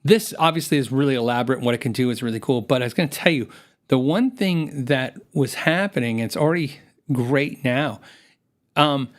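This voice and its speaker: A man's voice: mid-range voice, fairly quick. A man hosting a gear livestream, chatting casually with viewers, rambling with many restarts and reading out viewer comments. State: voice worn from long shows.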